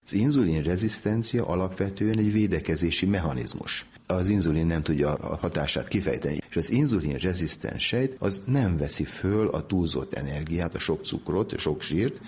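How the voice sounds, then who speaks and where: A man's voice: low voice, lively intonation, few pauses, darkish tone, husky. A man explaining medical research methodically as an interview guest.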